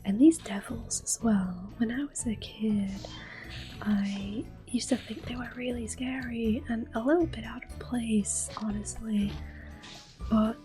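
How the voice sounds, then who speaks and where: A woman giving soft-spoken ASMR game commentary in a hushed, breathy whisper, gentle and unhurried, close to the microphone. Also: on the high side, fairly even intonation, soft background music, poor audio. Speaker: gameplay video host.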